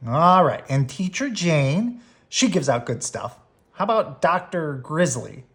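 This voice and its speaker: A woman's voice: low-pitched, lively intonation, measured pace, pitched above the performer's own voice. A woman voicing an eager, slightly cautious young boy bear cub in a children's storybook. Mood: planning.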